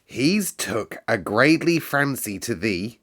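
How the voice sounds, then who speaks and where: A man's voice: mid-range voice, very animated, takes it slowly, a bit bright, heavily acted. A man voicing an earnest little girl in a storybook, proudly and carefully trying out broad Yorkshire dialect. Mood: eager, determined.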